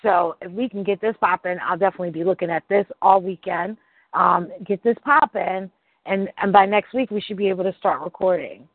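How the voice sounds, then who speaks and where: Husky host on a tinny call line. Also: mid-range voice, a little fast, no room sound. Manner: loose and excitable, swearing, lots of fillers. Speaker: podcast host. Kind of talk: casual call chat. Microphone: call audio. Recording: tinny phone-like call audio, dry.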